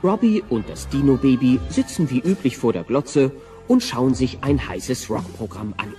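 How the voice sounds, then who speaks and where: A man's voice: mid-range voice, expressive, moderate pace, mellow, recorded from a distance. A man narrating a scripted audio drama, with music faintly behind him.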